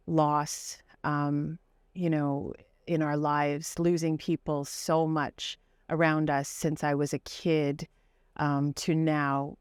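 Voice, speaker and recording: A woman's voice: low-pitched, smooth, no echo, clean studio sound. A woman in her forties, a psychologist and advocate, speaking earnestly and passionately in an interview, with frequent fillers. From Canada. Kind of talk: interview conversation.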